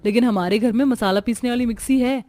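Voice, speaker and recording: A woman's voice: fairly high pitch, fast, mellow, lightly acted. A woman voicing a helpful, breezy young sister-in-law in a cartoon family story, chirpy and familiar. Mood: surprised.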